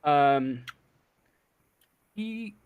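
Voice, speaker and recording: A man narrating an improvised tabletop game as game master, halting and searching for words. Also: on the high side, lively intonation, smooth, no echo, phone-quality sound.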